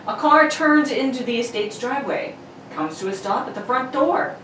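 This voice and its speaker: A woman with a somewhat high, animated voice reads radio-serial narration in a playful way. She is heard at a distance in a roomy, noisy recording. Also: moderate pace. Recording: echoey room, distant mic, clear noise.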